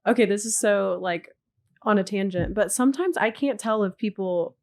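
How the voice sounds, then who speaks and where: A woman's voice: medium pitch, moderate pace. A woman co-hosting a podcast in casual chat, with a Texas accent. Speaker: podcast co-host.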